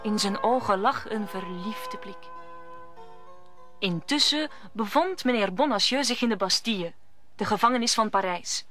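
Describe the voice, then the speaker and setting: A woman's voice: medium pitch, unhurried, fairly bright tone, faint music behind. A woman narrating a radio play in a scripted storybook style.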